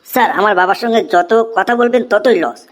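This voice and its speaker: A woman's voice: mid-range voice, a little fast, soft background music, close to an everyday voice. A woman voicing a cheeky, mischievous schoolboy in a cartoon comedy, impudent and mock-polite. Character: cheeky mischievous schoolboy, teasing and smart-mouthed.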